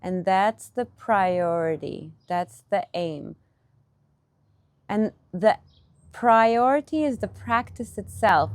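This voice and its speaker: Woman meditation teacher talking really slowly in short phrases, mid pitch, dry close sound. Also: darkish tone, dry recording. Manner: unhurried, instructive, speaking in short pause-separated phrases. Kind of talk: guided meditation talk.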